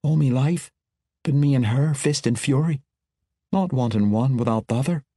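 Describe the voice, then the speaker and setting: A man voicing a young female dwarf warrior who talks in a rough dwarven dialect and presses with blunt questions. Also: medium pitch, moderate pace, warm tone, no room sound, studio quality, voice pushed up, lightly acted. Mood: grieving.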